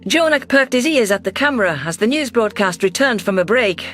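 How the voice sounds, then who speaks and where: A man's voice: high voice, expressive, fairly quick, fairly bright tone. A man reading a science fiction story aloud as a dramatic first-person narration. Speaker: audiobook narrator. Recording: music bed under voice.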